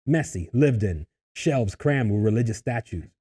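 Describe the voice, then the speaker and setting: A man reading screenplay stage directions in a husky voice, as scripted narration at a table read. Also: fairly low pitch, lively intonation, moderate pace, mellow.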